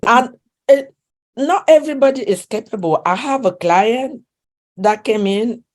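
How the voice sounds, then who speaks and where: A woman speaking English with a non-native accent, a relationship coach talking earnestly in an interview, often restarting sentences. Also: on the high side. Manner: earnest, with frequent restarts and soft laughs. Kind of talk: conversational interview.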